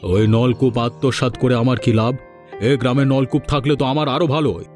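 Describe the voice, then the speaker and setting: A shifty village headman from a fairy-tale cartoon, acted by a man. His voice is mellow and medium in pitch. He is evasive and quick to excuse himself, with faint music underneath. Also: fairly even intonation, lightly acted. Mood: defensive. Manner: stammers when cornered.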